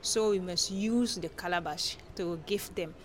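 A woman from Cameroon with a Francophone Central African accent, giving explanatory interview answers as a guest scholar in halting, non-native English, often rephrasing. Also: on the low side, slight background noise. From Chad-born, grew up in northern Cameroon.

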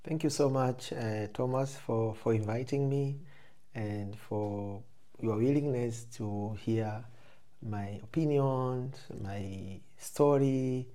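A man's voice: mid-range voice, slow, warm tone. A man with a Tanzanian accent answering interview questions conversationally, reflective and often rephrasing as he explains. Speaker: interview guest, photographer and filmmaker.

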